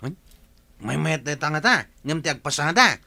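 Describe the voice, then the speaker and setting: A hard-up Filipino bachelor driver in a radio drama. His voice is fairly high and bright, plaintive and questioning. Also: expressive, moderate pace, vocal fry, full character voice. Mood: curious, eager. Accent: Filipino (Ilocano). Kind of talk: radio drama acting in Ilocano.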